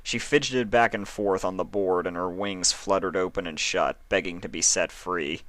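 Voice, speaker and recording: A man's voice: low voice, moderate pace, fairly bright tone, no echo. A man reading scripted story narration as the narrator. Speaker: narrator of fan fiction.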